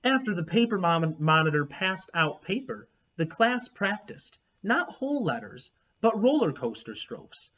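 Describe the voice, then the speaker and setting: Husky guy reading a kids book out loud, voice up and down a lot, kinda muffled recording. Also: high-pitched, measured pace, few pauses. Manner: animated, explanatory. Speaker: read-aloud narrator, teacher. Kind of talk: expressive children's storybook reading with asides. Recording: dull, lo-fi recording.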